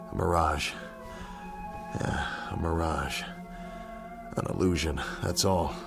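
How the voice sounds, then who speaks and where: Radio drama: haunted middle-aged American veteran patient, deep and creaky, halting delivery, guilt-ridden. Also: male voice, fairly even intonation, exaggerated voice. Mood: haunted, confused. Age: 40s. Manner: halting, repeating words. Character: guilt-ridden, shaken former Air Force captain, forty-one, hospital patient. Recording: studio radio drama with music underneath.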